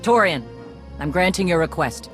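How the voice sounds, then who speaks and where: A woman playing a tough, commanding warrior clan leader in a video game, blunt and clipped with clear authority. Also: lowish voice, expressive, moderate pace, over music, close to an everyday voice. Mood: gruff command.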